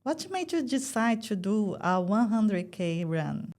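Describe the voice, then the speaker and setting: Female podcast host, mid-pitched, reads brief scripted questions. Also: sing-song, slow, darkish tone. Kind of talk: interview hosting.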